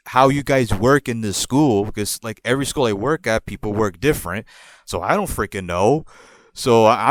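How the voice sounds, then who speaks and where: A man with a New York accent hosts a podcast, telling his story in a loose, rambling, profane way. His voice sits at an ordinary middle pitch and sounds close and dry, with no room around it. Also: no room sound. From born in Puerto Rico, raised in the New York area. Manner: rambling, profane, animated retelling. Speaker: podcast host. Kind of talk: casual storytelling.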